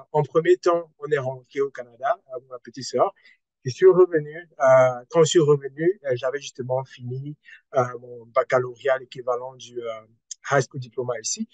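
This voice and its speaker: A man's voice: medium pitch, steady tone, a little fast, no room sound, lo-fi sound. A young man from Cameroon with a Cameroonian French accent, speaking as a panelist and sharing personal stories in a hesitant way with many filler sounds.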